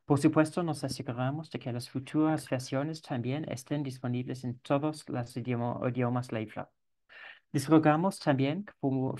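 A man's voice: mid-range voice, a little mellow. Male moderator on a video call, hesitant delivery, plenty of restarts. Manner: halting, frequent restarts. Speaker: session moderator. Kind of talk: online consultation session.

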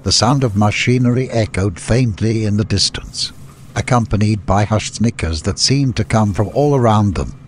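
A man's voice: on the low side, moderate pace, slight background noise. A man reading scripted fantasy story narration as an audiobook narrator.

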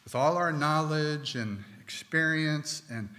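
A middle-aged man preaching a sermon from a pulpit, slow and deliberate with emphatic pauses. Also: fairly high pitch, pushed and bright. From United States. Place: church sanctuary with stone wall, at a pulpit. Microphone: pulpit microphone. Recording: long hall reverb.

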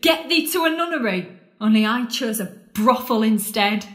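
A woman's voice: fairly high pitch, expressive, moderate pace, fairly bright tone. An actress playing a bitter, scornful woman confronting her lost love in an audio drama, sardonic and accusing. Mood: angry, bitter. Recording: roomy, echoing space.

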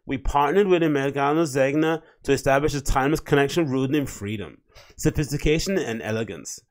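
A man's voice: mid-range voice, fairly quick. A man, hosting a casual solo podcast and rambling from a small home room into a desk mic. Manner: rambling, chatty, reads captions aloud in mock voices. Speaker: podcast host. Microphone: desk mic on boom arm.

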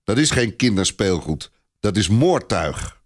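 A man voicing a gruff, boastful army sergeant who snaps and brags in a storybook tale. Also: fairly low pitch, sing-song, a little slow.

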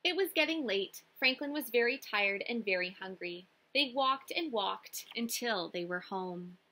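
Young woman, children's read-aloud, high voice, slow deliberate delivery, expressive. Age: young adult. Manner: deliberate, animated phrasing. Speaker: teacher reading a picture book. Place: small indoor room with classroom wall displays.

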